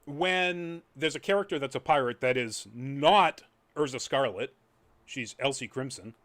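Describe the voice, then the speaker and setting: A man's voice: medium pitch, unhurried, fairly bright tone. A man giving a casual, rambling spoken review as a podcast host, trailing off into asides.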